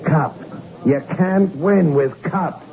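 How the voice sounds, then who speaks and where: An actor playing a cynical, grumbling bar owner in an old radio drama. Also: male voice, on the high side, takes it slowly. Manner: grumbling, wary and defensive. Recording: dull, very noisy 1960s radio recording.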